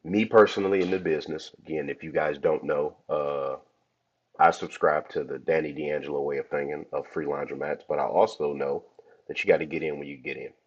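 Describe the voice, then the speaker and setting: A man hosting a laundromat podcast, hoarse from a cold, talking casually and chatty with his listeners. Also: very deep voice, steady tone, brisk. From Cleveland, Ohio. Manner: chatty, addresses listeners as y'all. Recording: dull lo-fi audio.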